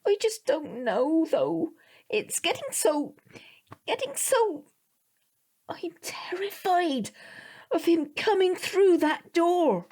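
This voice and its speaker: A woman's voice: very high-pitched, sing-song, takes it slowly, darkish tone, full character voice. A woman playing an anxious, worn-down mother in an audio drama, fretful and hesitant. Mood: despairing. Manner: fretful, hesitant, breaking into whispers. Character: anxious, worn-down mother frightened of her son.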